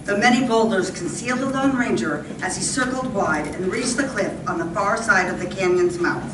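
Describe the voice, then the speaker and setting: A woman's voice: mid-range voice, moderate pace. A woman giving dramatic scripted narration for an old-time radio play, performed live on stage. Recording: roomy, distant, very noisy live stage recording.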